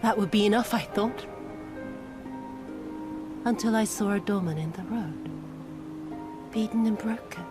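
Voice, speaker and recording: A woman's voice: mid-range voice, music in the background, lightly acted. An actress voicing a cruel, sadistic female spy villain in a video game cutscene, theatrically bitter and savoring each dramatic word. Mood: scornful, bitter. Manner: slow, dramatic, savoring words, dropping to whispers.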